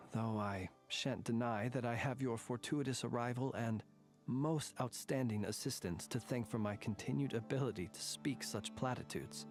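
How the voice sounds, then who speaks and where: An actor voicing a formal, world-weary soldier-scholar who talks in a wordy, dryly wry way. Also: male voice, medium pitch, measured pace, soft background music, close to an everyday voice. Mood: resolute. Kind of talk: game voice acting.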